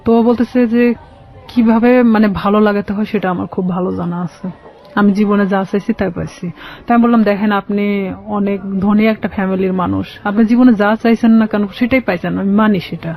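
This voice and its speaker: A woman with a Bangladeshi accent telling a personal story as a radio guest, halting and repeating phrases as she explains. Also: medium pitch, fairly even intonation. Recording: slightly dull, lo-fi radio sound.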